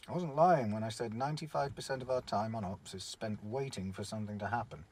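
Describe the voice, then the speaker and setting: A British man playing a seasoned surveillance officer in a radio drama, wry, measured and world-weary. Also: lowish voice, darkish tone, natural voice.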